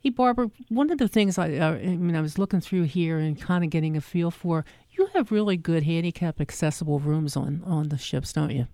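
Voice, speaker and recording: Warm, low-voiced South Jersey woman host. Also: fairly quick, few pauses, no echo. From South Jersey, near Philadelphia. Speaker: show host. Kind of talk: casual interview chat.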